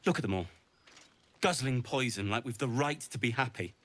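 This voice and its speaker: A man's voice: mid-range voice, normal speed, a bit bright, lightly acted. An actor playing a brooding, bitter man in a fantasy game, grudging and gloomy in delivery. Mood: bitter, disdainful.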